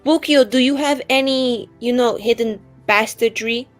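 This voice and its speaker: A woman roleplaying conversationally in a tabletop game, speaking in short, halting, questioning phrases. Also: a little high. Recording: background music.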